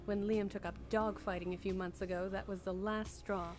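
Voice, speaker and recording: A woman played by an actress gives measured, formal answers in a visual novel. She sounds composed and precise, at a middling pitch, with music playing behind her. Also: level tone, lightly acted.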